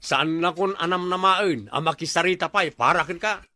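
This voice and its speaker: Man acting a high-pitched, grumbling village man. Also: normal speed, sharp tone, no echo. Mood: reproachful. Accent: Ilocano. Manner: nagging, reproachful. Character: grumbling, scolding village man, easily embarrassed. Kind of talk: Ilocano comedy drama dialogue.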